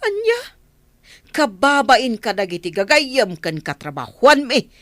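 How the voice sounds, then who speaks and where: A woman's voice: on the high side, wildly swooping pitch, unhurried, a bit bright, no echo, clean studio sound, exaggerated voice. A woman playing a stern, status-conscious mother in a radio drama, scolding and insistent.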